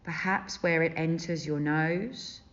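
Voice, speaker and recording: A woman leading a guided meditation as a teacher, gentle and soothing, with unhurried phrases and long pauses. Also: low-pitched, steady tone. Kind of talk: guided body scan meditation. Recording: dull, muffled lo-fi sound.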